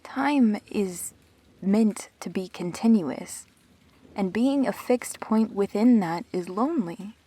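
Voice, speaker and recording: A woman acting an earnest, guarded immortal best friend in an audio drama, speaking in measured, reflective phrases with pauses. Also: mid-range voice, animated, dark tone, slightly rough. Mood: earnest, persuasive.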